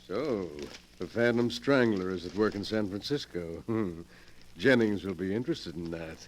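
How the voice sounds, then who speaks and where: An actor playing a scheming wheelchair-bound collector of murder relics in a 1940s radio drama, smooth and commanding. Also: male voice, sits low, moderate pace, noisy background, natural voice. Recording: old radio broadcast recording.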